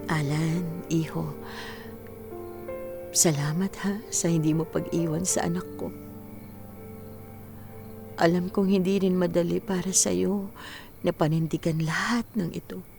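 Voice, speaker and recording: A gentle middle-aged mother in a Filipino radio drama, with a lowish, gravelly-edged voice over music. She is tender and reassuring, speaking with deep devotion to her family. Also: steady tone, measured pace, pauses often. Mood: grateful, earnest.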